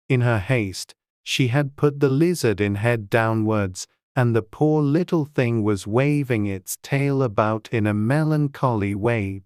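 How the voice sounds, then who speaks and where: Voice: mid-range voice, darkish tone, clear, resonant tone, no room sound, clean studio sound. An audiobook narrator reading a classic tale in a dramatized storybook style.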